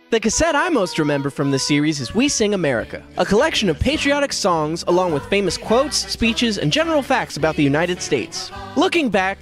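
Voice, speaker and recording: A man's voice: high voice, a bit bright. A man delivering a scripted comedic review in a sarcastic way, with dry punchlines. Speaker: list video reviewer.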